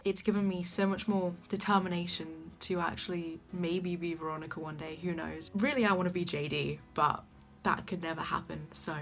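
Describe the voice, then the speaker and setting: Gushing young woman with soft voice. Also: fairly low pitch. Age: young adult. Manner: gushing, enthusiastic fan talk. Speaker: vlogger. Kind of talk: casual vlog chat to camera. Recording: faint background music.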